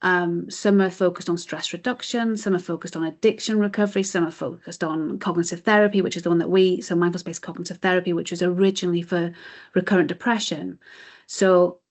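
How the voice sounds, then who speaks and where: A woman's voice: on the low side, fairly even intonation, a little fast. A British woman giving earnest, explanatory answers as the guest in a conversational interview. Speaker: interview guest, chief executive of a mindfulness charity.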